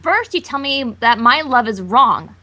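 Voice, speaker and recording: Woman playing an overwrought, bright-voiced cartoon pony girl. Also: on the high side, lively intonation, measured pace, voice pushed down, heavily acted. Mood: indignant. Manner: overwrought, accusatory. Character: melodramatic, indignant young female pony, cartoon fan fiction.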